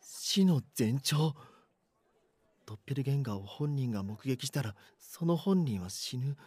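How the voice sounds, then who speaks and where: Anxious schoolboy, acted by a man, expressive and dry. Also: mid-range voice, moderate pace, husky, no echo. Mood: alarmed. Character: anxious schoolboy narrating an eerie mystery. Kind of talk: first-person audio drama narration.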